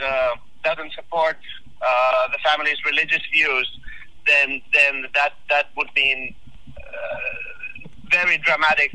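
Bright-voiced man on a phone line. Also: on the high side. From Finland. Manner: halting, repeats words often. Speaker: guest commentator. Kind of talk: radio interview.

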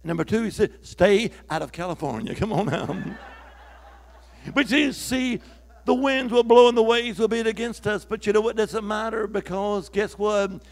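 A male preacher with a high, gravelly voice delivers a sermon in a church. He calls out questions for the congregation to answer and swells into emphatic, forceful lines. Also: moderate pace. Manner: call-and-response preaching, rising to emphatic shouts.

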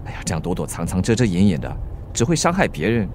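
A man's voice: sits low, very animated, quick pace, darkish tone, a little hiss or hum. A man with a Singaporean accent playing a practical, reassuring friend in a radio drama, mixing in English words. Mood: consoling. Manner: colloquial, sprinkles English words into speech. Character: level-headed, reassuring male friend, practical peacemaker.

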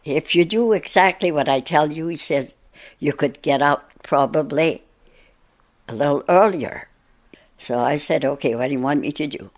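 A woman over a hundred years old, a native French speaker with an Acadian French accent, reminiscing in an unhurried interview and laughing between thoughts. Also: deep voice. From northern Maine. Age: over 100.

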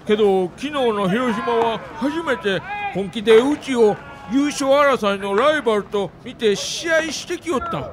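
Man as a very high-voiced, cocky Kansai ballplayer. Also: lively intonation, measured pace, hardly any pauses, noisy background. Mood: defiant, confident. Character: confident, competitive young male baseball player.

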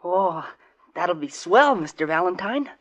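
A forward young office boy who talks in a plain, matter-of-fact way. The voice is mid-pitched and lively, rising and falling a lot. It sits in a vintage radio drama recording. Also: a little slow, dull audio. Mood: earnest. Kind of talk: 1940s radio acting.

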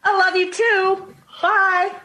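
An actress playing a warm, supportive 1950s wife and mother in an old-time radio drama, affectionate and encouraging. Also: very high voice, level tone, takes it slowly, heavily acted. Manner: bright-spirited, encouraging, affectionate.

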